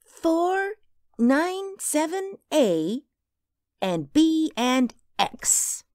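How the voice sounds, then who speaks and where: A woman playing an excited, bossy and impatient little girl in a radio drama. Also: high-pitched, very slow, no echo, clean studio sound, full character voice. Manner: impatient, emphatic, gushing.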